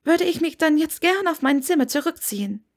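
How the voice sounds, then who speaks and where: A woman's voice: high-pitched, expressive, brisk, voice pushed up. A woman voicing a reserved, weary young woman who is polite, hesitant and evasive, in an audiobook story.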